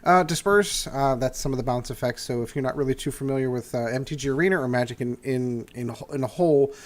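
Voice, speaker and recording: An older man talks through a card game deck review in a loose, rambling way. His voice sounds a little nasal and stuffed up from a cold, and he fills the gaps with plenty of "uh"s and false starts. His pitch sits right in the middle for a man. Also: fast talker. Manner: rambling with many "uh" fillers and restarts. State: congested from a cold. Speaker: host.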